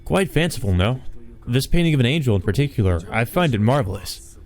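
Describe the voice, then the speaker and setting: A man's voice: mid-range voice, very animated, fairly quick, a little mellow, faint music behind, voice pushed up, lightly acted. A man voicing an insolent, carefree male visitor in a visual novel, over-familiar and needling in manner. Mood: teasing praise.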